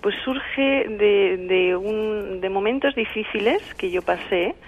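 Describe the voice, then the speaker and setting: A woman from Madrid with a Spanish accent, an author answering interview questions in a calm, explanatory way. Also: mid-range voice, takes it slowly, slight background noise. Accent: Spanish from Spain. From Madrid, Spain. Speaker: author and guest. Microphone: phone line. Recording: tinny phone line.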